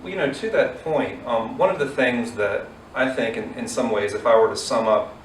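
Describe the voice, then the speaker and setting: A man's voice: mid-range voice, normal speed. A man hosting a casual interview as a church pastor, asking questions in a conversational way. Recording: echoey, distant mic, faint noise.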